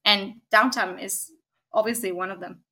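Bright-voiced Argentine-born woman. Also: mid-range voice, fairly even intonation, normal speed. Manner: thoughtful, many fillers and restarts, frequent "right?". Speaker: guest, technology consultant. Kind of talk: podcast interview.

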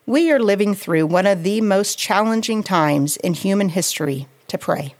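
A woman reading a scripted devotional in a measured, reflective and earnest manner. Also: mid-range voice, expressive, darkish tone. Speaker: devotional writer and reader.

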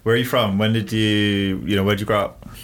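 A man's voice: lowish voice, flat delivery, brisk, heavy background noise. A man interviewing someone in a casual chat, informal and slangy, with encouraging responses.

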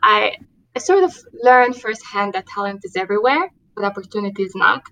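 Romanian woman, thirties, earnest interview guest, mid-pitched, lots of fillers. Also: expressive, fairly quick, sharp tone, rough recording. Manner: earnest, many fillers and restarts. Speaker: diversity and inclusion manager, guest. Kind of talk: podcast interview.

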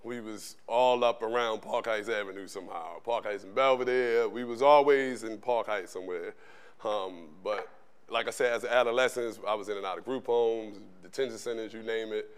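A man's voice: fairly low pitch, steady tone, normal speed, clean tone. A man with a Baltimore accent, co-hosting a podcast in a casual, laid-back, slangy way. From Baltimore, Maryland. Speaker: podcast co-host.

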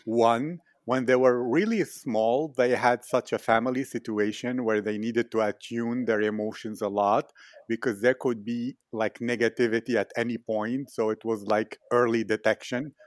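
A man's voice: medium pitch, steady tone, moderate pace, dry recording, studio quality. A man interviewing in a reflective conversational style, asking long, winding, analytical questions.

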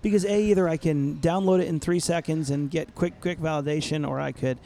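A middle-aged man co-hosting a podcast, talking in an easygoing, reflective way with a mellow, warm tone and a voice a bit higher than most men's. A faint hum of background noise sits under his voice. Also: steady tone, fairly quick, creaky. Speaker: podcast co-host. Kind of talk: casual chat.

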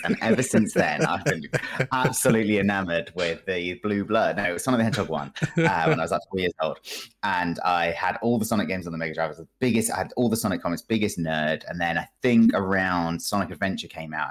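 A man speaking as a podcast guest, giving casual, informal opinions in a hedging, self-deprecating, conversational way. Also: low-pitched. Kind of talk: casual chat, informal game review.

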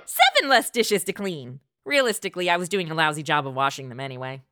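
A woman's voice: low voice, big pitch swings, quick pace. A woman playing a blunt, sarcastic young modern princess in a comic radio play, with a brash delivery. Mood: sardonic. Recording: noisy background.

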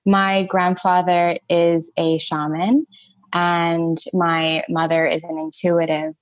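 A young adult woman from Thailand, a podcast guest and energy healer, telling her story in a lively, conversational way with laughs between phrases. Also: fairly low pitch, slow pace, no echo.

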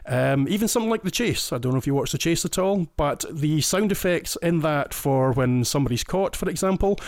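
A man's voice: fairly high pitch, animated, brisk, no room sound. Enthusiastic Scottish man, dry sound. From Scotland. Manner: enthusiastic, explains in detail with frequent restarts. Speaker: guest listener. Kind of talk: casual podcast chat.

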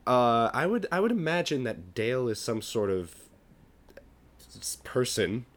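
A young man from the United States co-hosting a podcast in casual chat, joking and dry, with an animated delivery. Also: mid-range voice, measured pace. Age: young adult. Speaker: podcast co-host.

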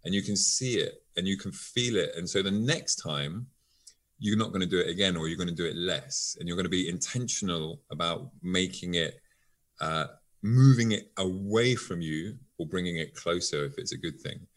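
A man's voice: deep voice, moderate pace. A man being interviewed as a guest, explaining with examples in an eager conversational way.

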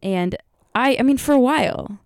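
A woman's voice: a little high, animated, normal speed, gravelly, smooth, dry recording, clean studio sound. A young woman vlogging in casual chat, her voice scratchy and tired from singing, rambling with fillers and giggles.

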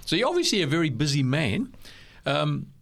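Chatty host with South African-Kiwi accent. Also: male voice, a little high, very animated, brisk. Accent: South African and New Zealand. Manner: warm, chatty, laughs easily. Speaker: interview host. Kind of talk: conversational interview. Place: studio.

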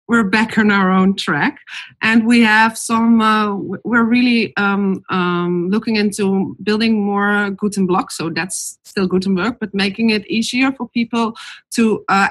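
A woman's voice: fairly high pitch, breathy edge, low-quality recording. A woman with a Dutch accent, a company CEO speaking frankly and matter-of-factly as a panel guest. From Netherlands. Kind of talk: panel discussion.

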